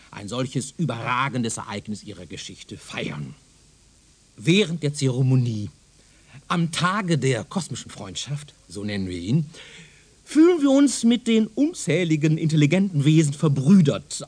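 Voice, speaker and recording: Pompous, wildly animated professor. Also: male voice, medium pitch, husky. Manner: pompous, didactic, declamatory, condescending. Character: pompous, self-assured male professor lecturing on his doctrine. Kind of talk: German radio drama acting.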